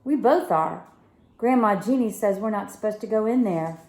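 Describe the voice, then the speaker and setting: A woman's voice: medium pitch, expressive, normal speed, a little mellow, roomy sound, lightly acted. A woman voicing a timid, frightened little sister in a children's story. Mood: scared. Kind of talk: children's audiobook character voice.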